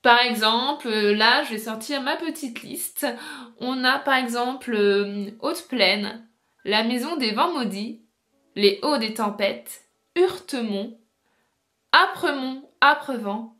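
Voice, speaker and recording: A woman's voice: on the high side, a bit bright. A woman presenting an informal video essay as a book vlogger, chatty and explanatory, speaking straight to her viewers.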